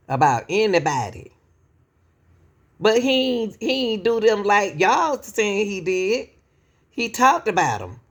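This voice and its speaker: A woman hosts her own commentary channel and talks slowly and deliberately, like she is preaching a point. She keeps turning to the viewers with "y'all" and repeats herself for emphasis. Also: mid-range voice. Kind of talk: casual opinion commentary.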